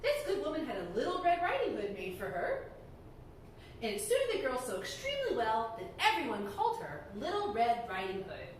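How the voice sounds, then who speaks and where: A woman reading a fairy tale aloud to children in a library, animated and often laughing. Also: fairly high pitch, moderate pace, a little hiss or hum. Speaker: storyteller. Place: library room. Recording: echoey room, heard from far off.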